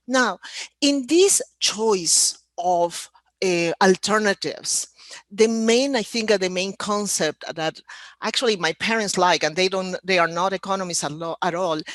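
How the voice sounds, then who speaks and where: A woman's voice: mid-range voice, fairly bright tone, no room sound. A middle-aged woman from Peru with a Peruvian Spanish accent, speaking as an economist on a video panel, rambling with frequent fillers and restarts. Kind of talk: virtual panel talk. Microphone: video call.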